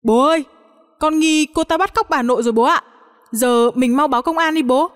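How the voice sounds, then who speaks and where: A woman narrator puts on a suspicious young grandson, high-pitched and urgent. He throws out hot-headed accusations, in a reverberant audio story. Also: quick pace, fairly bright tone. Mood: angry, suspicious. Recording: long hall-like reverb on the voice.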